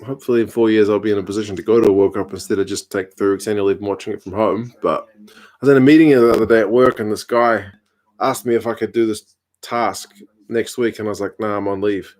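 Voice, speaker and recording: A man, hosting a casual live stream from home, reading out viewer comments with a relaxed, chatty manner and dry humour. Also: on the low side, fast talker, poor audio. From New Zealand. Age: 30s.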